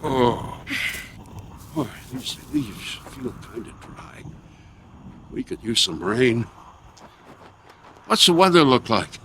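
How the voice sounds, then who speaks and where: Frustrated, slow-speaking proud man. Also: medium pitch, wildly swooping pitch, background noise, heavily acted. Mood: grumbling. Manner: grumbling, emphatic. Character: frustrated man losing his sight, proud provider. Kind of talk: radio drama acting.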